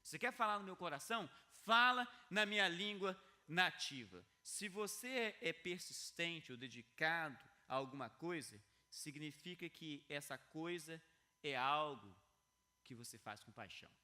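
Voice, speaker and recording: A man preaching in an earnest video sermon, his voice high-set for a man and very bright in tone. He swings between hushed, low passages and loud, lifted emphasis. He repeats key phrases to drive them home. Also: expressive, unhurried. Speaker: pastor.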